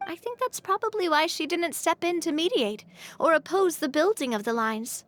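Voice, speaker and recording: A woman voicing an earnest, warm-hearted young clan president in a video game, speaking sincerely and expressively over music. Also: very high voice, lively intonation, normal speed. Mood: reflective. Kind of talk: video game story dialogue.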